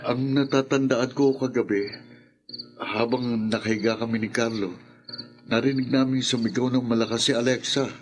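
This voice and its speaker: A man's voice: medium pitch, steady tone, normal speed, music in the background, close to an everyday voice. An actor playing a defensive, hot-tempered young man with an emphatic delivery in a Filipino radio horror drama. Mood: anxious recounting.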